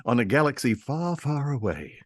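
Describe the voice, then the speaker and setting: An older man with an Australian accent, reminiscing casually and haltingly as an interview guest. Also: mid-range voice, sing-song, no echo, clean studio sound. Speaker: interview guest, radio historian and voice actor.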